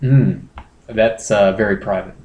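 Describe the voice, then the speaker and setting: A man's voice: fairly low pitch, measured pace, darkish tone. Man reading aloud in echoey room. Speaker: comedy podcast host. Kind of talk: casual comic reading of internet posts. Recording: roomy echo, faint noise.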